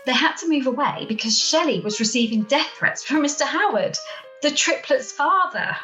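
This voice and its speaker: Gossipy townswoman played by an amateur actress, lively and high-pitched, storytelling with relish, roomy home recording for radio drama. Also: moderate pace, soft background music. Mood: gossipy, animated. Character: gossipy small-town woman relishing a scandal. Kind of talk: amateur radio play acting. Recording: roomy, echoey home video-call recording.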